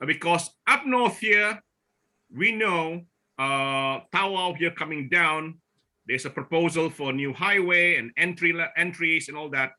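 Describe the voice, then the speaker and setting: Man, from Borneo, forum presenter, very bright tense tone, slightly high, halting with restarts, video call. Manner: halting, with frequent restarts and fillers. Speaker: forum speaker presenting slides. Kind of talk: online presentation talk.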